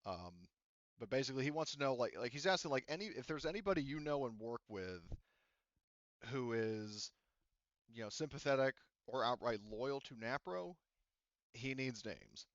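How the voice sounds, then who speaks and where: A man's voice: medium pitch, lively intonation, moderate pace, no echo, highs cut off. A man running a tabletop game as game master, relaying a character's offer in a rambling way with lots of fillers. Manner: rambling, many fillers like "you know" and "like".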